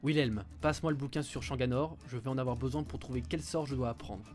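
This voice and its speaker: A man's voice: mid-range voice, fast, a little mellow, soft background music, close to an everyday voice. A man playing a knowledgeable, wry and hesitant mage in an amateur audio fiction. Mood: explaining, doubtful.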